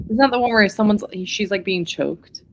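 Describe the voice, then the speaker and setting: A woman's voice: medium pitch, moderate pace, noisy background. A woman co-hosting a casual book chat, thinking aloud and correcting herself. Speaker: co-host of a book club live show.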